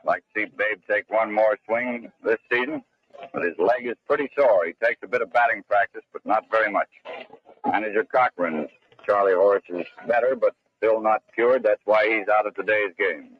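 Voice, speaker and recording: A man calling baseball play-by-play in 1930s radio style, with measured, clipped descriptions, on an old radio broadcast recording. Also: lowish voice, husky, dull audio. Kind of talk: 1930s radio sports commentary.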